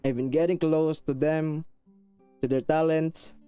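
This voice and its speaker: Filipino man, slightly high, smooth voice, thick accent, chatty and self-conscious streamer. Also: fairly even intonation, unhurried, mellow, no echo, soft background music. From Philippines. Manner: rambling, frequent restarts, self-conscious and apologetic; switches into Tagalog phrases. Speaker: VTuber streamer. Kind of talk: casual chat with live viewers.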